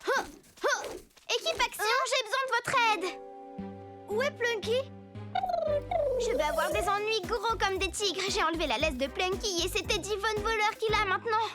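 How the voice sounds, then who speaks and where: Eager, very high-pitched kid superhero. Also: female voice, moderate pace. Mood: worried. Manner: earnest, childlike, exclamatory. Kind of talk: animated children's cartoon dub acting. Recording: loud cartoon music behind the voice.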